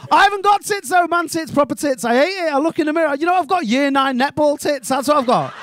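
A man doing an animated, crude stand-up set on a small comedy club stage into a handheld mic. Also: very high voice, fast talker, no room sound, studio quality. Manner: animated, crude, ranting and incredulous.

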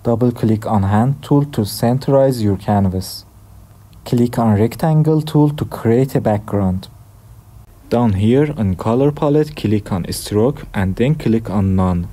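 A young man from Iran with an Iranian accent, hosting a software tutorial with plain step-by-step instructions. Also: fairly low pitch, unhurried. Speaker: tutorial host. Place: dim room at a desk.

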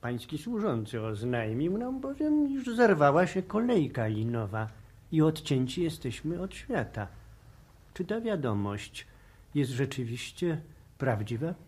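An actor playing a sober, sceptical scientist who speaks formally and precisely, in 1960s radio drama style. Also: male voice, fairly high pitch, sing-song, unhurried, a little mellow, slightly rough, full character voice. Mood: formal, doubting.

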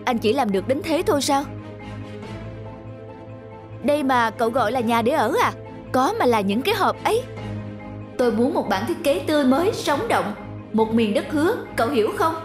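A man's voice: very high voice, animated, normal speed, pauses often. Middle-aged male boss for animation. Stern, critical, curt, music underneath. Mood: scornful, demanding. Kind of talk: animated moral tale dialogue. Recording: music behind the voice.

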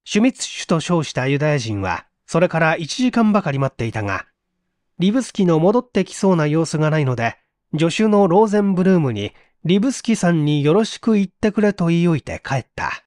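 Male narrator for mystery-style fiction: scripted, dramatic, slightly high voice, animated intonation. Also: a little fast, no room sound, studio quality. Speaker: audiobook narrator.

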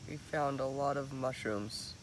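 A male speaker talking shyly and hesitantly outdoors in the woods, with many pauses and ums. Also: medium pitch. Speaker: vlogger's son. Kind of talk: casual outdoor chat. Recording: outdoor insect noise behind. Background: crickets and insects.

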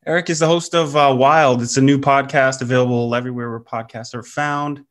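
Thirties man from Canada, podcast host, mid-pitched everyday voice, easygoing and halting. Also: fairly even intonation, fairly bright tone. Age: 30s. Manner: easygoing, halting questions full of uh and um. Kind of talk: casual interview hosting. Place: home room, over a video call.

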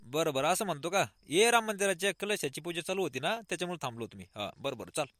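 Man doing a devout cartoon villager, high-pitched, quick, emphatic, touchy, rural Maharashtrian accent. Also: expressive, fairly bright tone, no echo, studio quality. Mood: devout, explaining. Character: devout, talkative village elder brother, easily irritated. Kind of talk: comic cartoon dialogue.

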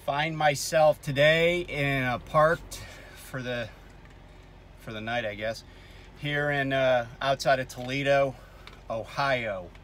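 A man's voice: fairly high pitch, fairly bright tone, a little hiss or hum. A man in his fifties, a truck driver hosting his own vlog, rambling with many ums in a projecting voice from inside his parked truck cab. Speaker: truck driver vlogger, host. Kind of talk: casual talking-head vlog. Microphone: camera on the dash at arm's length.

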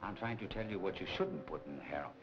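A middle-aged actor playing a smooth, evasive nightclub boss with deliberate, menacing phrasing in a 1940s crime film. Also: male voice, deep voice, expressive. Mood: veiled menace. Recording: dull 1940s film soundtrack, loud music behind.

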